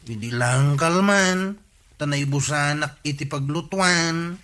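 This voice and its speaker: A man's voice: a little high, slow, a bit bright. A man acting a sheepish, pleading villager with a Filipino accent in a comedy radio drama.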